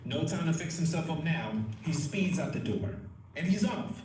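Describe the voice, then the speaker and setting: A man's voice: fairly high pitch, expressive, normal speed, very noisy, natural voice. A man narrating an audio play as a wry, dramatic storyteller. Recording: echoey, distant livestream playback. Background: loud music.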